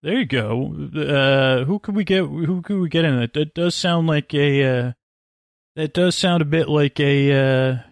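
A man's voice: a little high, creaky, no room sound, studio quality. A man hosting a bedtime podcast in a meandering, stammering monologue, with a mumbling, mush-mouthed delivery. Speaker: podcast host. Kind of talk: rambling, tangent-filled bedtime podcast monologue.

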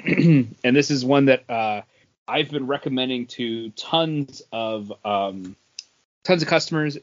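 A man's voice: mid-range voice, lo-fi sound. A man co-hosting a podcast in a casual, conversational style. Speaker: comics podcast co-host. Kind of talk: casual chat.

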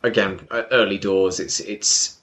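A man's voice: on the low side, fairly even intonation, a little slow, fairly bright tone. A man in casual, informal conversation, explaining things as a co-host, with some wry humour, recorded on a built-in microphone. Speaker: podcast co-host, manga fan explaining to newcomer. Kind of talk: casual chat.

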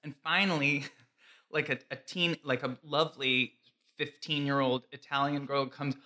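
A man's voice: medium pitch, steady tone, a little slow, pushed and bright. A man co-hosting a casual chat, telling an anecdote animatedly, laughing often and using "like" a lot. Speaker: podcast co-host.

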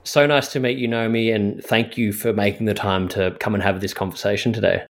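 A man's voice: fairly low pitch, fairly even intonation, a little fast, music in the background. A man hosting an interview podcast, reading a guest introduction and then asking questions in a rambling, hesitant way. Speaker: podcast host.

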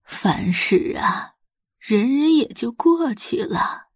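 Woman voicing a slow, breathy old village grandmother. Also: on the high side, expressive, dry recording, studio quality, voice pushed up, exaggerated voice. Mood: tearful, choked. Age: older. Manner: slow, fussing, gentle. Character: kindly old village grandmother, doting, humble, tearful. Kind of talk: audiobook character acting.

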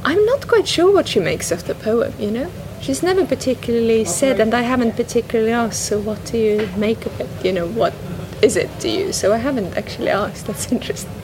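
A woman whose first language is Estonian speaks thoughtfully as a guest, pausing often and restarting her sentences. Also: a little high, animated. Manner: thoughtful, hesitant, many restarts and "you know". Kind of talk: reflective conversation about a poem. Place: bookshop, indoors. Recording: noisy public bookshop.

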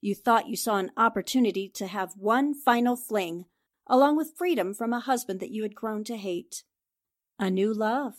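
A woman playing a sharp, confident newspaper reporter in a 1940s-style radio drama, speaking in an assertive, accusing way. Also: mid-range voice, natural voice.

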